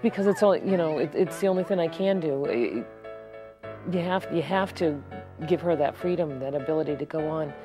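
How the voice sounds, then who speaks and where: A middle-aged American woman with a low voice recalls things in an unscripted interview, speaking as a parent. Music plays clearly behind her. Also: brisk.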